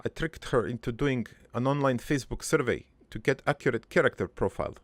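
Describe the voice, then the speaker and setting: A man playing a crude but wistful old dead father in a cartoon, rambling through his life story in a halting, gravelly, improvised monologue. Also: mid-range voice, no echo, close to an everyday voice. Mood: fond, sly. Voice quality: gravelly, creaky.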